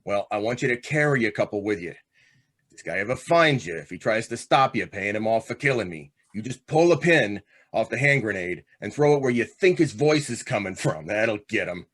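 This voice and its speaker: An actor playing a vengeful, manipulative convict on death row in an old-time radio drama, talking in tough street slang. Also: male voice, on the low side, expressive, fairly quick, fairly bright tone. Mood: instructing, menacing. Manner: tough, slangy, clipped commands. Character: hard, scheming condemned gangster, vengeful manipulative older brother.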